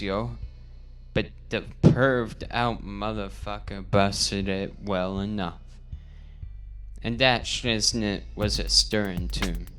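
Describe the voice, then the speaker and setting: A man reading a slang-filled parody storybook aloud in a theatrical, deliberate, mock-gangsta manner. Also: lowish voice, sharp tone. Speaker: amateur reader of a parody audiobook.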